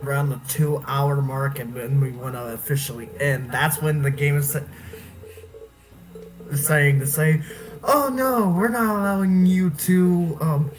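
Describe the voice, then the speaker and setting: A man's voice: fairly high pitch, a little slow, low-quality recording. A man giving casual, exasperated commentary as he streams a video game. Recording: game music playing under the voice. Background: video game music.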